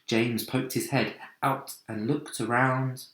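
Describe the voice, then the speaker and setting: A man's voice: mid-range voice, fairly even intonation, slow, fairly bright tone. A man narrating a children's storybook expressively. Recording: roomy, echoing sound.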